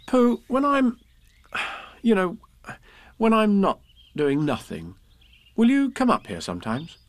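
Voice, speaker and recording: A man's voice: high-pitched, very animated, slow pace, pitched above the performer's own voice, heavily acted. A man voicing an earnest, kindly, imaginative little boy in a storybook, speaking in a faltering way. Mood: wistful, earnest.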